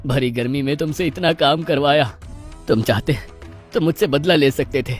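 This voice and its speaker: A man's voice: fairly high pitch, moderate pace, faint music behind, lightly acted. A man playing a greedy village master in a cartoon story, speaking in a dramatic, emphatic way. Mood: remorseful. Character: greedy, cruel male village master, self-pitying when cornered. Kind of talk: Hindi cartoon story voice acting.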